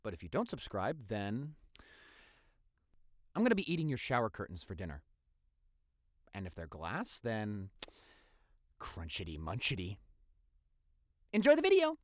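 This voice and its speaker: A man's voice: lowish voice, wildly swooping pitch, measured pace, pauses often, dry recording, clean studio sound. A young man giving a casual, jokey channel intro as the creator speaking to his viewers.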